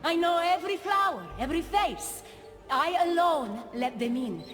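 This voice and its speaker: A woman's voice: extremely high pitch, lively intonation, moderate pace, a bit bright. A woman playing a poised, gracious, possessive goddess queen of a paradise realm in a video game cutscene. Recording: long reverb, music behind.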